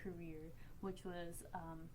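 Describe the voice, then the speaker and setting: A woman's voice: fairly low pitch, little pitch movement, takes it slowly, a little mellow, rough recording. A young adult woman co-hosting a podcast, chatting casually in a round-table discussion. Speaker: podcast co-host and writer. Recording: faint room noise.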